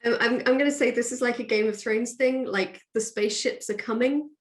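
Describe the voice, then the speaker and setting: A woman's voice: on the high side, fairly even intonation, a little fast. A woman author being interviewed, chatting casually and thinking aloud in a discursive way. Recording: roomy, echoey sound.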